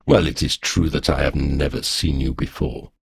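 A man voicing a rumbling, gloating she-dragon. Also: fairly even intonation, moderate pace, vocal fry, slightly rough, no echo, heavily acted. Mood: amused. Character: huge scheming female dragon, smug and menacing.

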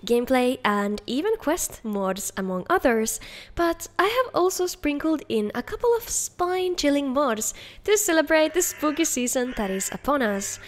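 High-pitched woman narrating a gaming showcase. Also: big pitch swings, moderate pace. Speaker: video host and narrator. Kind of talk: scripted narration of game mod showcase.

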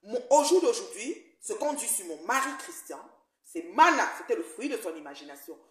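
A woman in her forties, recounting events in an animated, indignant way while seated in her living room on a phone call. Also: fairly high pitch, normal speed. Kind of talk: video call discussion. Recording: roomy echo.

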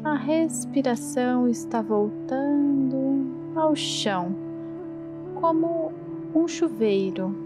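A woman with a Brazilian accent, calmly interpreting a guided meditation in measured phrases. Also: sits high. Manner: calm, measured. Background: meditation music.

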